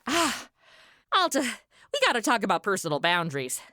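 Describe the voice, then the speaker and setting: A woman's voice: mid-range voice, huge pitch swings, moderate pace, pushed and bright, slight background noise. A woman playing a flippant, sassy modern influencer in a radio comedy, casual and wisecracking. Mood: exasperated.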